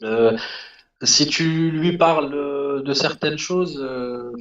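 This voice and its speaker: A man's voice: fairly high pitch, takes it slowly, fairly bright tone. A man from Algeria in his late thirties calling in to a live show, rambling with many fillers. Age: 30s. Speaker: caller. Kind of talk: casual call-in chat. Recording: echoey, distant, dull internet call line.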